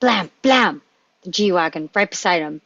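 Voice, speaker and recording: A woman's voice: lowish voice, lively intonation, a little slow, a bit bright, no room sound. A woman reading screenplay action aloud as narration, putting emphasis on punchy action words. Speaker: table read participant. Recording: dry, dull lo-fi sound.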